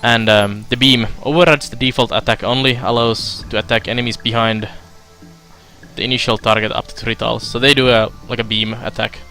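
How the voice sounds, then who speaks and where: A young man from Finland giving casual let's play commentary, halting with fillers as he reads game text aloud. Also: medium pitch, fairly even intonation, sharp tone, soft background music. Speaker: streamer.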